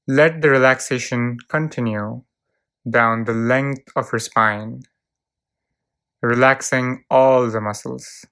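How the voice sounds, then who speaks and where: A narrator guides a relaxation exercise in a clean, smooth voice at a medium pitch. The delivery is very slow and soothing, with short calm phrases set apart by long pauses. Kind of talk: guided visualization, relaxation script.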